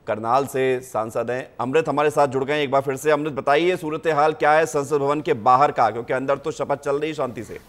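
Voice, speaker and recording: A male news anchor speaking in measured sentences with frequent hesitation pauses. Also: medium pitch, steady tone.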